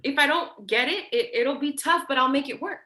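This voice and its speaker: A woman playing an insecure, blunt, protective older sibling in a staged play reading, halting and repeating words. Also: high voice, bright, forceful tone, reverberant, a little hiss or hum. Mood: earnest, nervous.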